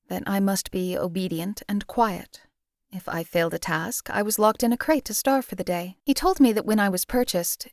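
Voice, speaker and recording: Woman doing cold numb lady assassin for a game, flat detached delivery, dry close mic. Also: mid-range voice, no room sound, natural voice. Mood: bitter, restrained. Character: cold, numb female hired killer, once enslaved. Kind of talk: video game companion voice lines.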